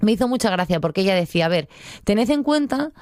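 A woman's voice: mid-range voice, lively intonation, fast, darkish tone, no room sound, studio quality. A middle-aged woman with an accent from Spain, talking informally and digressively about books as a show contributor. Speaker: book segment contributor on a show. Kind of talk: conversational book review.

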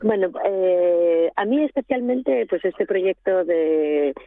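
A woman's voice: lowish voice, animated, takes it slowly. A woman from Asturias, speaking as a guest in a radio interview by phone, hesitant, with many restarts. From Asturias, Spain. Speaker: guest, NGO regional delegate. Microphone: telephone. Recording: tinny phone line.

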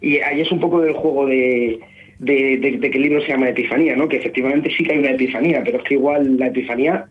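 A man's voice: mid-range voice, fairly even intonation, quick pace. A young man from Spain, a novelist guest in a radio interview, chatty and self-mocking, often restarting his sentences. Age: young adult. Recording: muffled radio broadcast.

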